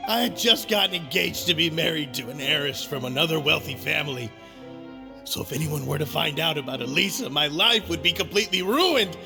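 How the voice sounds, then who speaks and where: A man's voice: fairly high pitch, animated, a little fast, vocal fry, over music. A man playing a cowardly, self-justifying wealthy man in a video game, speaking in a defensive, pleading way. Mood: desperate, self-pitying. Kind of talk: dramatic game voice acting.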